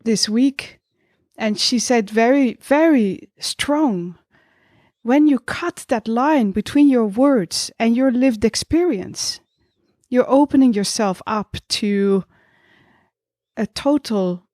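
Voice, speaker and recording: A woman co-hosting a reflective intellectual discussion, speaking deliberately with pauses between her phrases. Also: on the high side, a little mellow, no echo, studio quality.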